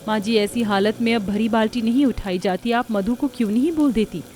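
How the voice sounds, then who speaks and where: A woman's voice: fairly high pitch, brisk, a little mellow, lightly acted. A woman voicing a meek, put-upon young daughter-in-law in a Hindi cartoon story, plaintive and deferential. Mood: pleading.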